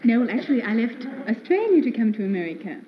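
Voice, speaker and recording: A cheerful, giggly woman from Sweden answers a host's questions as a contestant. Her voice is high and swings lively up and down. It comes through a dull old broadcast recording. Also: quick pace, background noise. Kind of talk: game show interview.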